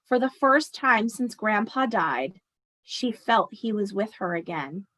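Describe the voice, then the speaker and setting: A woman reading a children's story aloud expressively, pausing to explain and speak directly to her listeners. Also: mid-range voice, lively intonation, bright, forceful tone. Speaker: storyteller reading a picture book aloud to an audience.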